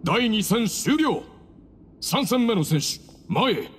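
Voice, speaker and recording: A man's voice: high voice, sing-song, takes it slowly, a bit bright, a little hiss or hum, heavily acted. A man voicing a solemn officiant of a desert temple duel in a video game, formal and proclaiming. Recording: long hall reverb, music behind.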